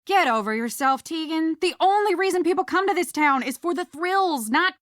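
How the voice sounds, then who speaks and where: A woman's voice: very high voice, animated, normal speed, a bit bright, no echo, studio quality. A woman playing a brash, defiant young prankster in a video game, arguing with scornful emphasis.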